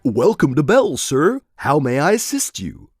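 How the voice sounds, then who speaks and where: A man voicing a cheery animated Saint Bernard waiter with polite customer-service patter in an audio story. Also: fairly high pitch, unhurried, husky, faint music behind, pitched above the performer's own voice, heavily acted. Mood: chirpy, polite. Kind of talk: scripted short-story character voice.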